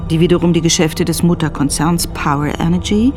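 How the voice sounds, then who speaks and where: A woman giving a measured, scripted narration in a radio drama. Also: on the low side, fairly even intonation, music in the background.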